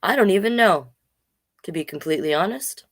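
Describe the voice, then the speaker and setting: A woman's voice: fairly low pitch, animated, brisk, fairly bright tone, dry recording, clean studio sound. A middle-aged woman chatting casually as a storytime host, with playful, self-deprecating asides. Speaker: drag king host reading children's books. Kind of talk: casual livestream chat and storytime reading.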